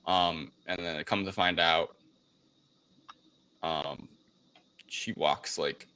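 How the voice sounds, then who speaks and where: A man's voice: low-pitched, fairly even intonation, takes it slowly, bright, forceful tone. A man co-hosting a casual movie review chat, rambling informally through a plot recap and sometimes swearing. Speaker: podcast co-host.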